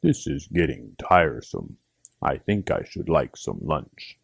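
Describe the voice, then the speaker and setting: A man voicing a fussy, proper little gentleman frog from a storybook, with gravelly, husky speech and formal, genteel phrasing. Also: very deep voice, sing-song, measured pace, no room sound, voice pushed down, full character voice. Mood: bored.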